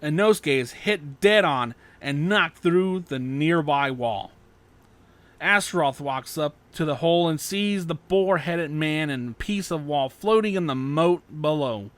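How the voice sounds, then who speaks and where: A man's voice: on the high side, animated, measured pace, fairly bright tone. A man narrating scripted fan fiction as an audiobook.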